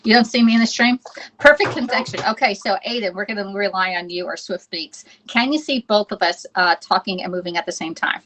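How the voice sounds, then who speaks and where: A woman's voice: medium pitch, a little fast, fairly bright tone, poor audio. A woman from the United States hosting a live cooking stream, chatting casually and reading viewer comments aloud while she fumbles with the app.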